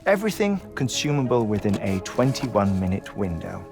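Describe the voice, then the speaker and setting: Game cutscene role: eccentric, mournful man in middle age, low gravelly voice, formal and halting. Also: very animated, over music. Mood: wistful, resigned. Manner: hesitant, stammering, formal wordy speech. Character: eccentric, melancholy middle-aged man living in twenty-one-minute cycles. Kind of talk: video game cutscene acting.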